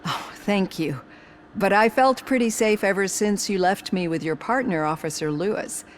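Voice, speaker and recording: A woman playing a caring, composed doctor in a video game, speaking in a measured, sincere way. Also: medium pitch, a little hiss or hum, lightly acted. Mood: kind, sincere. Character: caring, articulate female doctor, composed and earnest. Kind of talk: video game cutscene acting.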